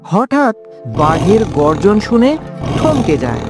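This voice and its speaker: A woman's voice: medium pitch, sing-song, takes it slowly, music in the background. A woman narrating a scripted children's story as the storyteller.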